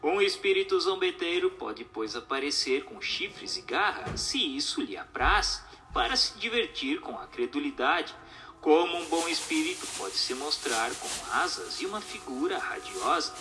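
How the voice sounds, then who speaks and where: A man's voice: fairly high pitch, sing-song, moderate pace, bright, forceful tone, faint music behind. A man with a Brazilian accent reading a formal, didactic text aloud as scripted narration.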